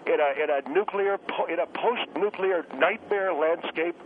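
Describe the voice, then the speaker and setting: A man's voice: a little high, moderate pace, lightly acted. Man acting an irascible scientist on a tinny phone. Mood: mocking. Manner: haranguing, insulting, goading. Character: irascible, condescending male scientist, radio talk-show guest. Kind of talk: comic radio phone-in. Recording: tinny, noisy phone line.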